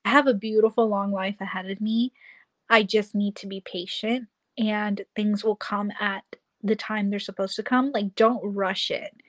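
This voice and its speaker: A woman in her twenties talks as a guest in an easygoing chat. She speaks at an average pitch and animates her points by acting out her own inner thoughts as quotes. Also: steady tone, normal speed. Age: 20s. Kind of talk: casual chat.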